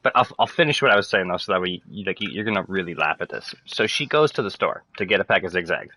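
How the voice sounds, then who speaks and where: A young man who grew up in French Canada with French as his first language, ranting casually to friends in a fast, rambling way full of fillers and swearing. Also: deep voice, lively intonation, bright, forceful tone, dry recording. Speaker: streamer chatting with friends.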